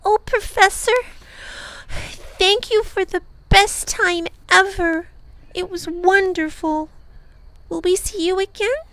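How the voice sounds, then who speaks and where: A woman's voice: extremely high pitch, takes it slowly, fairly bright tone, no room sound, pitched above the performer's own voice, heavily acted. A woman voicing an eager, affectionate young girl who gushes breathlessly. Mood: gushing.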